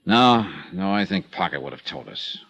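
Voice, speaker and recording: An actor playing a wry, hardboiled insurance investigator who narrates a 1950s radio drama. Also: male voice, deep voice, moderate pace, muffled recording, exaggerated voice. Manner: wry, hardboiled, lively delivery. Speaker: narrator and lead.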